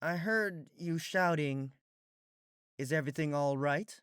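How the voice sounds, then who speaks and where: A storyteller voicing a gentle, sleepy older-sister pony princess, soft, patient and muffled. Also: mid-range voice, a little slow, smooth, no room sound, studio quality, pitched above the performer's own voice, close to an everyday voice. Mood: sleepy concern.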